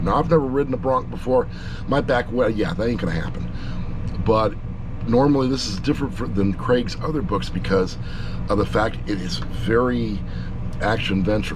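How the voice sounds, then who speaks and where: A man with a fairly low voice reviews a book casually and off the cuff. His delivery is halting and rambling, with self-corrections along the way. Clear background noise, like a vehicle, runs behind him. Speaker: audiobook reviewer, channel host.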